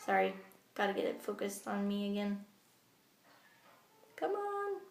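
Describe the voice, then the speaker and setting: A woman's voice: medium pitch, big pitch swings, measured pace, gravelly. Childish-voiced woman on an echoey phone. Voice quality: childish-sounding voice. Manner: chatty, with many ums and you-knows. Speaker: direct-sales makeup team leader. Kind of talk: casual training talk to her sales team. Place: home bathroom. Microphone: old smartphone camera.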